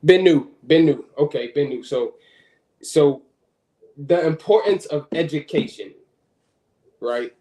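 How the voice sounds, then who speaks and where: A man in his twenties talks in an easygoing, slangy way during a casual livestream chat. His voice sits a little higher than most men's. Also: takes it slowly, long pauses, dark tone. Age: 20s. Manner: laid-back, loose slang.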